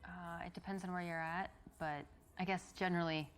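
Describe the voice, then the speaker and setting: A woman as a young american woman on a date in a live-action game, lowish voice, calm and modest. She answers briefly and easily. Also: a little fast, lightly acted. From United States.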